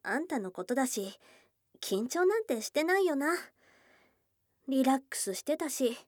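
A woman voicing a shy, affectionate young goblin girl in a Japanese ASMR voice drama, with casual tomboyish speech and a soft, hesitant delivery. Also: high-pitched, dry recording, clean studio sound. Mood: nervous. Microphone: close studio mic, dry.

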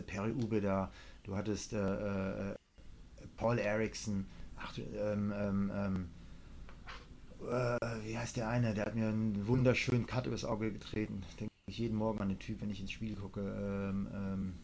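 A man's voice: lowish voice, darkish tone, slight background noise. A middle-aged man chatting casually on a podcast, rambling with many fillers and blunt, opinionated remarks.

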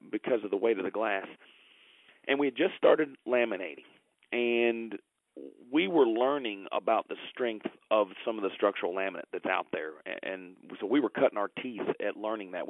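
A man's voice: on the low side, no room sound. A man being interviewed over the phone as a company founder, chatting casually and telling folksy, enthusiastic anecdotes with bits of quoted conversation. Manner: folksy, enthusiastic storytelling, quoting past conversations. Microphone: telephone. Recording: thin, dry phone-line sound.

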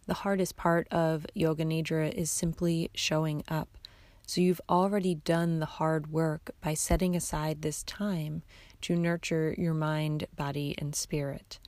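A woman guiding a meditation in a soft, soothing, hushed voice that turns breathy at times, with unhurried instructions and long pauses. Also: sits low, little pitch movement, no room sound. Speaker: yoga nidra guide, host of the episodes. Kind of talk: guided meditation narration.

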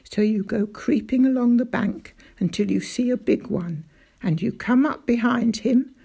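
A woman voicing a small elderly country doctor, excitable and easily outraged, in a storybook reading. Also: on the high side, unhurried, creaky, husky, heavily acted. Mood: genial. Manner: excitable, grave then outraged.

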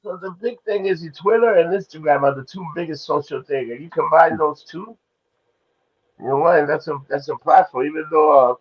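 A man's voice: a little high, brisk, husky. A man co-hosting a talk show, agreeing along and cutting in with crude remarks. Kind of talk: casual chat. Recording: dull lo-fi sound.

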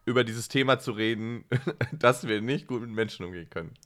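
A man with an average-pitched voice co-hosts a casual podcast. He talks conversationally, jokes at his own expense and likes to exaggerate. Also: brisk, fairly bright tone. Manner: self-ironic, joking, prone to exaggeration. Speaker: podcast co-host, social worker and workshop leader.

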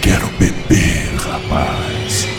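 Slow, echoing, brazen vampire spirit, Brazilian. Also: male voice, low voice. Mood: craving, demanding. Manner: brazen, demanding. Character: rowdy, vulgar male vampire spirit craving drink. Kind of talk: radio drama acting. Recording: echoing voice under loud music.

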